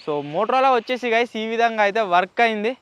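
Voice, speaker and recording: A man's voice: very high voice, fast, a bit bright, clear, resonant tone, no room sound. A man with a Telangana Telugu accent presenting a gadget test as a vlog host, mixing in English words. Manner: mixes English words into speech. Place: outdoors in open area with trees.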